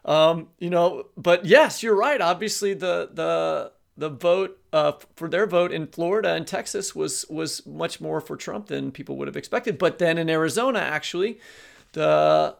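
A man co-hosts a casual podcast discussion. His voice sits a little high for a man, with a strong creaky fry running through it. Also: moderate pace. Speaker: podcast co-host.

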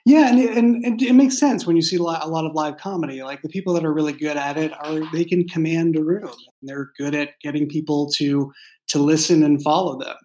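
Thoughtful man, halting conversational delivery. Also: fairly high pitch, warm tone. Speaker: writer and show creator being interviewed. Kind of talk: reflective conversational interview.

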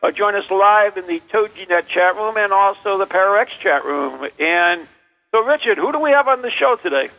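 An American man hosting a casual radio chat in a blunt, joshing way, with a gravelly edge, over a muffled, reverberant feed. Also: high-pitched, expressive, moderate pace. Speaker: radio show host.

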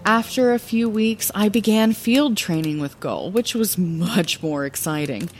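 A woman playing an earnest young female bard, telling her own story in an expressive, lyrical fantasy audio drama narration. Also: mid-range voice, measured pace, faint music behind, lightly acted. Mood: reflective.

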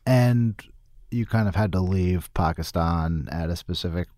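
A woman's voice: extremely low pitch, unhurried, mellow, no echo, clean studio sound. A woman interviewing a guest in a casual, conversational style.

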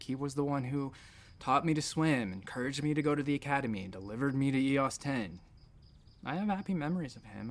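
An actor playing a troubled space captain in therapy, rambling, self-deprecating and sardonic in a naturalistic audio drama style. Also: male voice, mid-range voice, gravelly.

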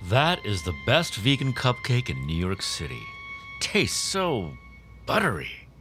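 An actor playing a smug, condescending male boss in an audio drama, suspicious and self-absorbed, with a halting way of talking. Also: mid-range voice, wildly swooping pitch, music in the background. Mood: loosening up. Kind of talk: naturalistic audio drama acting.

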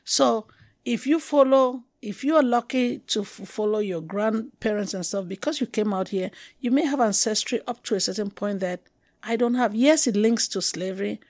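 A middle-aged woman from Cameroon with a Cameroonian accent, talking thoughtfully as an interview guest, halting and restarting, slowing down to stress words. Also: a little high, darkish tone, no room sound, studio quality. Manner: reflective, halting, with restarts and slow emphatic stretches. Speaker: guest poet. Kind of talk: conversational interview.

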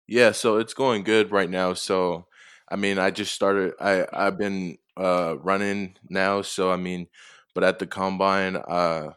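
A man's voice: low-pitched, fairly even intonation, slow, fairly bright tone, clean tone, dry recording, clean studio sound. A young man from Tonga giving casual, somewhat hesitant interview answers as a college football player. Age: young adult.

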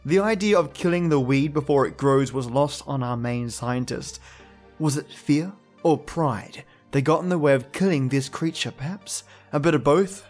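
A man's voice: fairly high pitch, moderate pace, a little mellow. An Australian man hosting a podcast, giving casual, reflective commentary. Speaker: podcast host. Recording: faint music behind voice.

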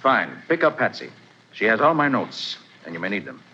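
A calm, commanding master detective with a low voice that rises and falls with emphasis. His tone is bright and deliberate. The sound is dull and faintly hissy, like an old radio show. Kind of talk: 1940s radio drama acting.

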